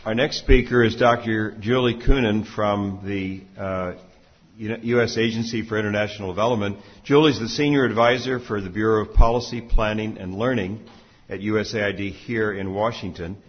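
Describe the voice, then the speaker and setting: Male panel moderator, lowish voice, formal intros, lo-fi sound. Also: fairly even intonation, normal speed.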